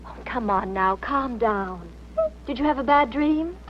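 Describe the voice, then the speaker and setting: An actress playing a woman friend who soothes and gently teases her companion. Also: a little high, animated, a little slow, a little hiss or hum. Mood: soothing. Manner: soothing, teasing. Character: teasing, motherly woman friend. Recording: dull old film sound.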